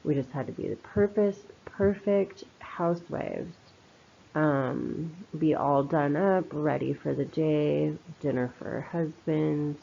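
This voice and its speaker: A woman's voice: low-pitched, slow, gravelly, mellow, slight background noise. A woman giving an informal spoken commentary in a reflective, opinionated, conversational manner. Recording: slightly dull, lo-fi voice recording.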